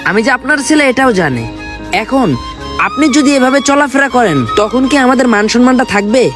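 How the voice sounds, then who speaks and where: A man's voice: extremely high pitch, expressive, brisk, over music. A young man with a rural Bangladeshi accent playing a reproachful, pleading son in an amateur drama. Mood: exasperated. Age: young adult. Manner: reproachful, insistent. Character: upset, pleading young son scolding his smoker father. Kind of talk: amateur Bengali short drama acting.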